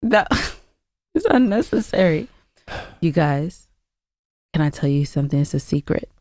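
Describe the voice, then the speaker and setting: Woman, slightly low voice, casual chatting, teasing, podcast co-host, dry close sound. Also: animated, measured pace, darkish tone, no room sound. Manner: teasing, playful. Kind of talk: casual chat.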